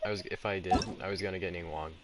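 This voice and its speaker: A man's voice: deep voice, fairly even intonation, very fast, a loud crowd. A man giving casual, rambling gameplay commentary, hesitant and often trailing off mid-thought. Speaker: gaming video maker. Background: game music.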